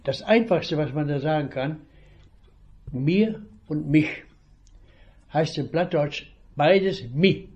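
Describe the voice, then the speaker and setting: Elderly man in his eighties, slow and halting. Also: on the high side, a little mellow, lo-fi sound. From northwest Germany. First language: Low German. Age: 80s. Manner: slow, halting storytelling with restarts. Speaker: retired veterinarian. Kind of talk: reminiscing about childhood.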